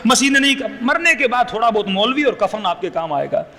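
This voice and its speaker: A man's voice: very high voice, very animated, fairly quick, bright, forceful tone. A male cleric giving an impassioned sermon, full of rhetorical questions and acted-out quarrels. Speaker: Shia cleric. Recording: hall reverb, faint noise.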